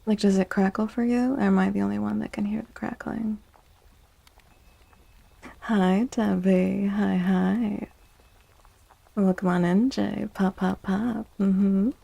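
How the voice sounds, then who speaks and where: A woman speaks softly and close to the microphone as she hosts an ASMR livestream. Her voice is mellow with a creaky fry, and she takes her time. The delivery is casual and chatty, like talk addressed to a chat room of viewers. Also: medium pitch, fairly even intonation. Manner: chatty, unhurried, greets viewers by name. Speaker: live streamer hosting ASMR tarot stream.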